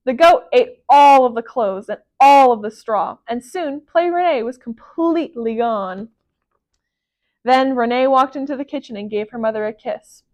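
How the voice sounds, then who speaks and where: A woman reading a children's picture book aloud as a library storyteller, expressive and emphatic. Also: fairly high pitch, moderate pace.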